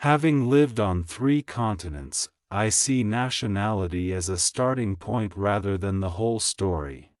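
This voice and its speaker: Male narrator for a language lesson, lowish voice, dry close recording, careful even delivery. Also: a little slow, few pauses, no room sound. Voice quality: plain, even reading of each sentence, repeated. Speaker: English teacher reading practice sentences. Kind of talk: slow, clear scripted reading for shadowing practice.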